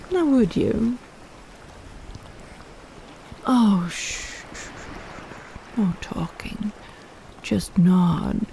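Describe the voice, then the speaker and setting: A woman's voice: mid-range voice, vocal fry, dark tone, slight background noise, heavily acted. A woman playing a possessive, teasing kraken in a roleplay audio drama, cooing and bossing like a doting big sister.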